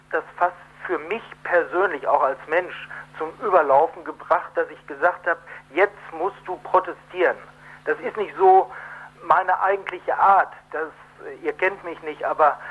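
Over a dull phone connection, a man gives a live radio interview. His voice sits fairly high, and he speaks emphatically but haltingly, often rephrasing as he goes. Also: slightly rough. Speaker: protest co-organiser, interview guest. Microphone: telephone. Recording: muffled telephone line on radio.

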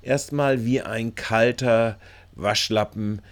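A man's voice: medium pitch, steady tone, slow. A man narrating a scripted local news report as a reporter, with a wry, sardonic manner.

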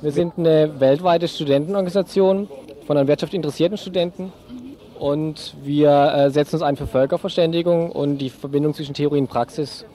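A man's voice: fairly high pitch, steady tone, brisk, mellow. A young man, a student organiser, answering in a spontaneous street interview, hesitant and searching for words. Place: outdoors on a city street.

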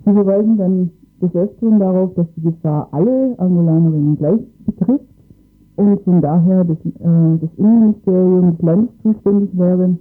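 A man's voice: high voice, normal speed, phone-quality sound. A man explaining matters in a radio interview, hesitantly searching for his words. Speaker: interviewed expert on asylum cases.

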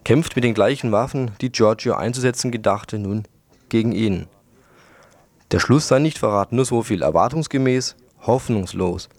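A man's voice: on the low side, normal speed, a little mellow, no room sound. A man reading a scripted book review on the radio in a wordy, literary manner.

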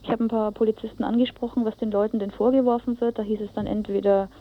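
A woman's voice: medium pitch, flat delivery, fast. A woman giving an informal eyewitness account as a radio reporter, often searching for words in a colloquial way. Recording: dull lo-fi radio tape.

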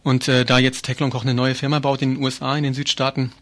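A man's voice: mid-range voice, completely flat delivery, fast talker, fairly bright tone. A man being interviewed as an activist, explaining things earnestly and informally with hesitant phrasing.

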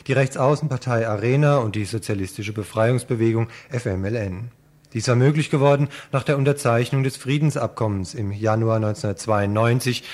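A man reads a news report from a script at an even, measured pace. His voice sits at a middle pitch. The delivery is that of a radio presenter. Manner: steady, measured reading.

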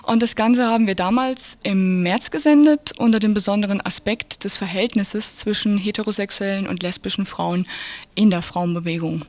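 A woman's voice: medium pitch, darkish tone. A woman presenting a radio programme and reading out announcements in an informal way. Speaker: radio host.

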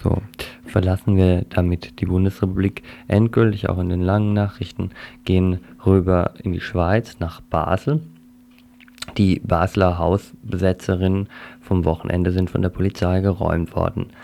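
Man, radio news host, very low voice, gravelly, dark, measured. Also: level tone, a little hiss or hum. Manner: measured, with short listening murmurs. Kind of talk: radio news reading and interview.

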